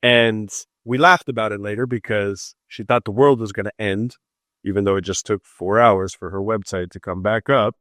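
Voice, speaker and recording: A man's voice: lowish voice, normal speed, no room sound, studio quality. A man from Los Angeles, a psychic and mentor, chatting loosely and jokingly as a podcast guest. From Los Angeles, United States. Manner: relaxed, joking, loose conversational talk. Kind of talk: casual podcast interview.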